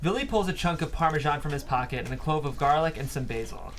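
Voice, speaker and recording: A man's voice: on the high side, expressive, fast, a bit bright. A man reading stage directions as scripted narration at a screenplay table read.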